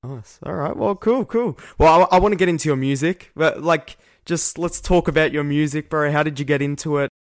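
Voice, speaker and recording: A man's voice: a little high, fairly quick, hardly any pauses, no room sound, clean studio sound. A man interviewing a guest in a casual chat, asking easygoing, encouraging questions.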